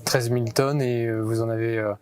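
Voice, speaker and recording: A man's voice: mid-range voice, level tone, normal speed, darkish tone. A man asking questions as an interviewer in an informal, attentive and somewhat hesitant way.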